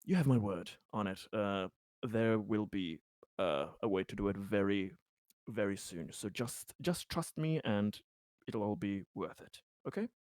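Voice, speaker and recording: A man playing an earnest, secretive schemer in a tabletop game, reassuring someone in halting speech. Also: on the low side, expressive, darkish tone, dry recording, clean studio sound.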